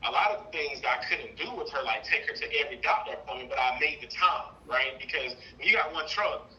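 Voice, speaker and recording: A thirty-year-old man talking casually on a call, telling a personal story in a rambling, animated way. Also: mid-range voice, a little fast, dull audio. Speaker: caller seeking advice, trucking business owner.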